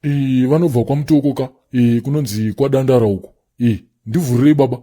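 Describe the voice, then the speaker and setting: Man voicing a quick-talking pompous cartoon prophet. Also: mid-range voice, warm tone, lightly acted. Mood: pleading. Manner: pompous, wheedling. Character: self-important male prophet and faith healer pleading at a gate. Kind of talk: comedy cartoon voice acting.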